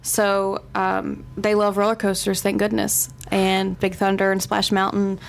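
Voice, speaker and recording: A woman's voice: medium pitch, monotone, unhurried, gravelly. A woman casually sharing personal anecdotes as a podcast panelist.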